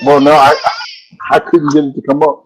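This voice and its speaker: A man joining a livestream video chat as a fellow streamer, making quick offhand remarks. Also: fairly high pitch, completely flat delivery, slight background noise, low-quality recording.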